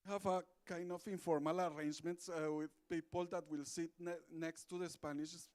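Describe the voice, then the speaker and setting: A man's voice: on the high side, little pitch movement, moderate pace, darkish tone. A man moderating a conference side event, friendly and loose, with fillers and restarts. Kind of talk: meeting moderation. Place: conference room.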